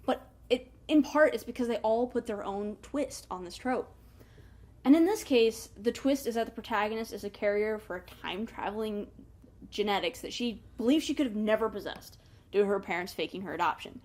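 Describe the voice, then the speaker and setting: A woman hosting a book review in a casual, chatty way, with animated emphasis and wry asides. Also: mid-range voice, fairly quick. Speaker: book review show host.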